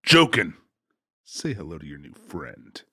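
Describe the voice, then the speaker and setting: Man acting a very deep, husky, menacing avenger. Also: big pitch swings, a little slow, pushed and bright, no room sound, clean studio sound, exaggerated voice. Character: menacing male avenger, mock-polite crime boss. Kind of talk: audiobook character acting.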